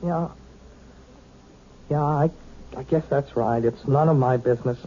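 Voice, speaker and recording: Earnest, soft-toned boyish young piano student male actor. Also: medium pitch, slight background noise, close to an everyday voice. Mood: hurt, protective. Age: young adult. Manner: earnest, halting, insistent. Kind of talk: 1970s radio drama acting. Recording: dull lo-fi old radio recording.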